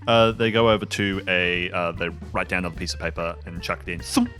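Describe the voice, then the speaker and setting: A man working as game master, casually improvising narration for a tabletop game in a halting, thinking-aloud way. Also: low voice, sharp tone. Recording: music behind the voice.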